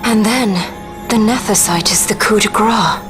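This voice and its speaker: An actress playing a proud, determined young princess in a fantasy game, speaking formally and earnestly. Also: female voice, mid-range voice, measured pace, music in the background, lightly acted. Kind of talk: dramatic video game voice acting.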